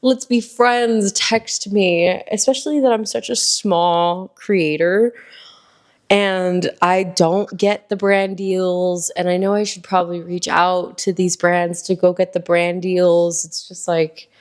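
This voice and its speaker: A woman's voice: fairly low pitch, fairly even intonation, slow. A young woman in her early twenties, from Miami, chatting casually as a solo podcast host, rambling with lots of ums and likes. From Miami, Florida.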